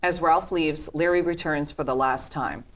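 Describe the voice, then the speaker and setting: Female narrator for a script reading, deep voice, delivering scene directions. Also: moderate pace, a bit bright. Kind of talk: scripted narration at a table read.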